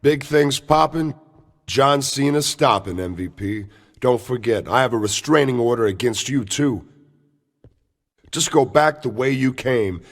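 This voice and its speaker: A man's voice: mid-range voice, unhurried, no echo, clean studio sound. A man playing an arrogant, scheming wrestling champion who taunts and mocks theatrically in a video game cutscene. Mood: scornful. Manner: smug, mocking, theatrical.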